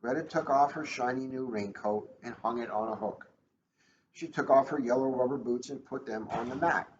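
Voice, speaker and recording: A man's voice: mid-range voice, moderate pace, roomy sound. A man, a police officer, reading a children's storybook aloud in a casual, informal style. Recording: dull lo-fi audio, faint noise.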